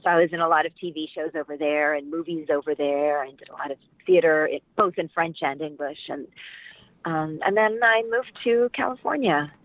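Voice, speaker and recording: A woman's voice: low-pitched, a little fast, rough recording. A middle-aged woman from Washington, D.C., an actress and dialect coach, talking casually as an interview guest in a rambling, reflective way with many fillers.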